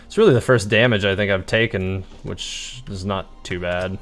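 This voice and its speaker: A man's voice: low voice, normal speed. A man giving casual, easygoing live game commentary as a streamer, thinking aloud as he plays. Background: game music.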